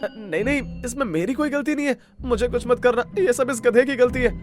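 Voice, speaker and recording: A man's voice: very high voice, a little fast, music in the background. A man voicing a nervous, blame-shifting village glutton in a cartoon story, pleading and flustered. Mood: frightened pleading.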